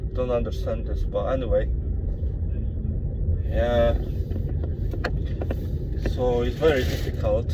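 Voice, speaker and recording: Hesitant man with a Polish accent, in a car. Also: medium pitch. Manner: hesitant, full of "you know" and restarts. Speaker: vlogger. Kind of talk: casual monologue to camera. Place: inside a car. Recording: dull sound with car and road noise.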